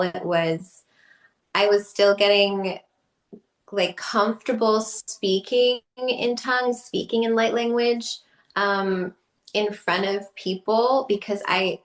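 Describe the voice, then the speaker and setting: A woman talks easily as a podcast guest, her voice sitting in a middle pitch. She strings her thoughts together with fillers, quick laughs and playful quoted asides. The sound is roomy, with a noticeable echo around her. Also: fairly even intonation, fairly bright tone. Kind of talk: casual conversational storytelling. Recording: echoey room.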